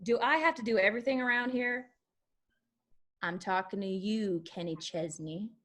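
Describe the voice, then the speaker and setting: A woman's voice: medium pitch, normal speed, fairly bright tone, lightly acted. A woman playing a wry, sarcastic young bartender from a country honky-tonk in a screenplay read. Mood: wry exasperation.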